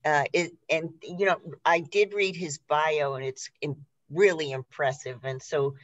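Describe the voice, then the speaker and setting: A woman's voice: sits low, steady tone, unhurried, no echo, lo-fi sound. A woman hosting a conversational interview in a polite, effusive way, heard over a video call. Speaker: host interviewing a theater cast.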